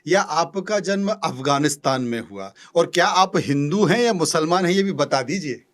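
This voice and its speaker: A man's voice: a little high, expressive, moderate pace. A man, working as a news interviewer who puts probing questions to his guest.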